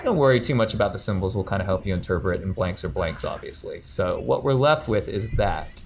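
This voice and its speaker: A man's voice: sits low, lively intonation, a little fast, creaky, slight background noise. A man acting as game master, explaining dice rules in a methodical way with frequent ums and self-corrections.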